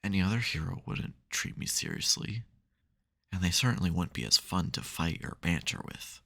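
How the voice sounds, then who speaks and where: A man playing an exasperated but secretly caring supervillain in an intimate ASMR audio roleplay, wry and gravelly. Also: low-pitched, very animated, moderate pace, a bit bright, exaggerated voice. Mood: reflective, tender.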